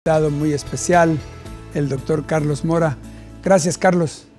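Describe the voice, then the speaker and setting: A man's voice: fairly high pitch, fairly even intonation, moderate pace, a little mellow, soft background music. A man hosting a televised interview, asking short follow-up questions and echoing his guest's answers. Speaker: interviewer and show host. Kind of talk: warm conversational TV interview.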